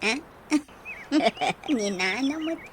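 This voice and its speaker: Lively, high-voiced young Ilocano woman. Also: extremely slow, a little hiss or hum. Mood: teasing. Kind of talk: Ilocano radio drama acting.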